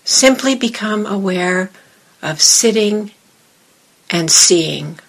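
A woman meditation teacher leading a guided meditation, giving measured instructions in short phrases with long pauses. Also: mid-range voice, lively intonation, husky.

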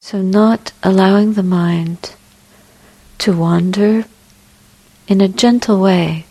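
Slow, husky woman guiding meditation. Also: mid-range voice, rough recording. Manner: gentle, unhurried, with long pauses. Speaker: Buddhist meditation teacher. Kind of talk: guided meditation.

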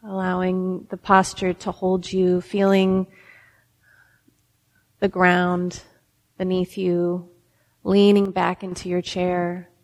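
Slow-speaking woman guiding meditation in a reverberant hall. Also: fairly low pitch, completely flat delivery. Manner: gentle instruction with long pauses. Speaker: meditation teacher. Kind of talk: guided meditation.

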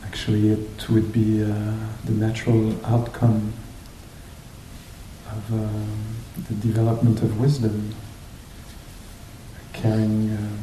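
Male meditation guide, unhurried and hesitant, lowish pitch, very slow delivery, recorded in a roomy space with faint rumbling noise. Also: monotone, warm tone. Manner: hesitant, searching, pausing between short phrases. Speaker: meditation teacher leading a group. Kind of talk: guided meditation talk, unscripted and reflective.

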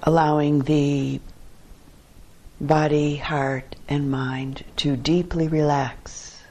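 A woman teaching guided meditation in a calm, measured way, with short phrases and long pauses between them. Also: low-pitched.

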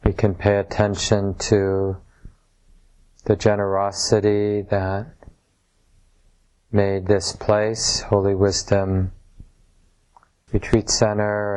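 A man with a fairly low, very even voice guides a meditation. He speaks in short, measured phrases and leaves space between them, teaching in a gently instructive way. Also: poor audio. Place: room at a retreat center.

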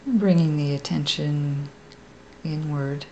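A woman meditation teacher leading a guided meditation in a gentle, soft, creaky, unhurried voice with long pauses. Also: sits low, highs cut off, slight background noise.

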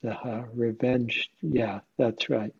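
A man's voice: mid-range voice, fairly even intonation, takes it slowly, vocal fry, darkish tone, husky, highs cut off. A man leading an informal group discussion as a meditation teacher, conversational and questioning, often checking in with listeners. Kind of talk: informal question-and-answer dharma talk.

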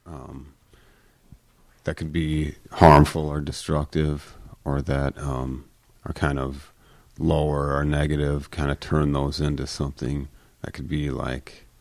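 Very deep, flat, slow man, hesitant. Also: no room sound. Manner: hesitant, full of fillers. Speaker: meditation group member. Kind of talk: personal sharing in a group.

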